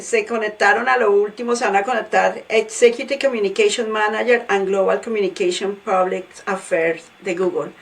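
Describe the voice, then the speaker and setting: A woman hosting a podcast interview from Michigan, praising her guest with halting restarts. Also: medium pitch, a bit bright, distant mic. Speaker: podcast host.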